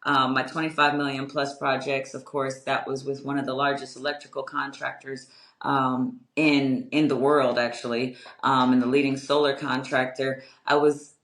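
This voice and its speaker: A young adult woman with a strong voice, answering interview questions in a measured, reflective way with many fillers. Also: fairly bright tone. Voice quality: strong, low-ish voice. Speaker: guest, construction company owner. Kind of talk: interview conversation. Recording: echoey room.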